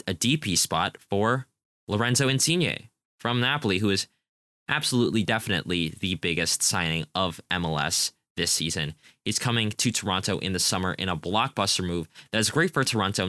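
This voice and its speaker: A young man with a very low pitch and a bright, forceful tone leads a casual soccer preview. He talks enthusiastically, rambling and restarting his sentences. The sound is dry and close. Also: no echo. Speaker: podcast co-host.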